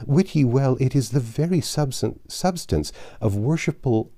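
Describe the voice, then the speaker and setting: A man's voice: medium pitch, animated, normal speed, dark tone, voice pushed up, lightly acted. A man voicing a humble, earnest young medieval damsel in a novel reading, with flowery, long-winded archaic speech. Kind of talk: audiobook character dialogue.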